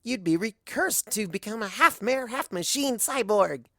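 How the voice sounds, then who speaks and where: A narrator voicing a bubbly, excitable young female cartoon pony who gushes and rambles. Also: mid-range voice, measured pace, no room sound, clean studio sound, voice pushed up, heavily acted. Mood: excited, rambling. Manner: gushing, exclaiming, rambling.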